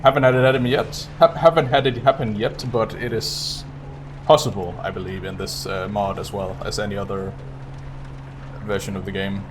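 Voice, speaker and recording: A man's voice: on the low side, steady tone, normal speed, noisy background. A man giving casual live gameplay commentary as a speedrunner, muttering and thinking aloud. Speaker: speedrunner streaming a video game.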